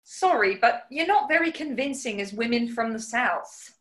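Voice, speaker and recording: An actress plays a sharp, genteel maid in a 1940s radio comedy. The voice is high and chirpy, with very lively pitch. The manner is polite yet frank. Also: female voice, normal speed. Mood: plucky, frank. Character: plucky, polite, sharp-witted young housemaid.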